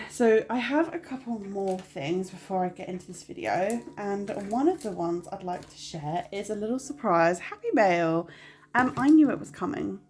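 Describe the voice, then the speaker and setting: A woman's voice: medium pitch, lively intonation, moderate pace, a little mellow. Girl vlogging from home, bunged up with a cold, rambly and apologetic, loads of ums. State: congested with a cold, nasal. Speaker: budgeting vlogger. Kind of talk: casual chat to camera.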